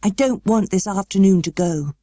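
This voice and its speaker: A woman voicing a frail, imperious, excitable young upper-class boy in an audiobook, well-spoken and emphatic. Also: mid-range voice, moderate pace, no room sound, studio quality, voice pushed up, close to an everyday voice. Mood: eager, determined. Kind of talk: audiobook character acting.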